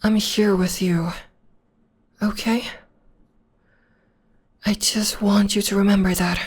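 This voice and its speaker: Soothing young witch girlfriend, voiced by a woman, breathy slow half-whisper, close mic ASMR. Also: medium pitch, little pitch movement. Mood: tender, reassuring. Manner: soft half-whispered comforting. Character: gentle young witch girlfriend, devoted, reassuring. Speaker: voice actor. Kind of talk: ASMR audio roleplay.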